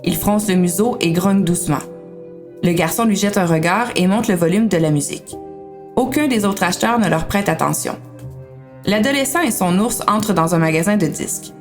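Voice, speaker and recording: A woman's voice: fairly low pitch, moderate pace, soft background music. A woman with a Quebec French accent reading scripted story narration.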